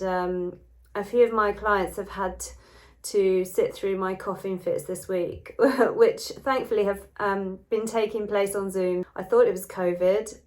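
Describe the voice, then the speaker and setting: A woman's voice: mid-range voice, a little mellow. Host, woman, nasal and congested from a cold, earnest casual delivery to camera. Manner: earnest, with frequent ums and restarts. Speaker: adoption wellbeing researcher and channel host.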